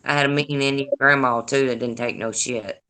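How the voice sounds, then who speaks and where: An older woman with a deep raspy voice and a Deep Southern accent, hosting a casual livestream chat in a blunt, profane, gossipy way. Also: fairly even intonation, fairly quick, pushed and bright. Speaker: livestream host. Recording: noisy livestream audio.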